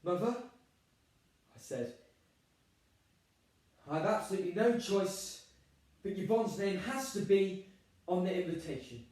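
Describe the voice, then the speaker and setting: A man's voice: high-pitched, animated, slow pace, pauses often, darkish tone. A young man playing a harried, put-upon groom in a dramatic stage monologue, theatrical, emphatic and exasperated. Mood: pleading. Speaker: drama student performer. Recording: very echoey room, distant microphone.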